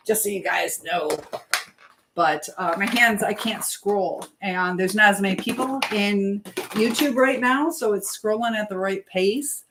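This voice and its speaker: A woman's voice: medium pitch, background noise. Casual woman livestreamer chatting. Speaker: livestream host. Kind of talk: casual live chat, talking to viewers.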